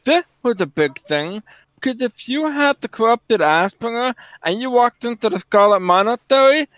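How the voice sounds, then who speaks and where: A man's voice: very high-pitched, expressive, normal speed, a bit bright, no echo. A man chatting casually about video games in a matter-of-fact way, on a dull, lo-fi voice track.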